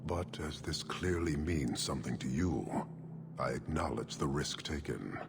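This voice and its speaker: Very deep, gravelly warrior commander. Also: male voice, animated, noisy background, heavily acted. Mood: cold disdain. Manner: terse, formal, measured, disdainful. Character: stern, imposing horned warrior commander of a foreign creed. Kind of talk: video game cutscene acting.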